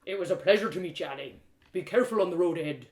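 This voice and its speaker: A woman voicing a gruff, kindly male innkeeper with folksy, rustic phrasing in a storybook reading. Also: on the low side, racing, darkish tone, reverberant, natural voice.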